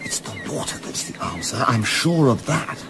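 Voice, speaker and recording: An actor playing a blunt, earnest young English sailor with an English accent in a radio play. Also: male voice, medium pitch, big pitch swings, normal speed, vocal fry, background noise. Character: Davis, earnest, plain-spoken young English yachtsman.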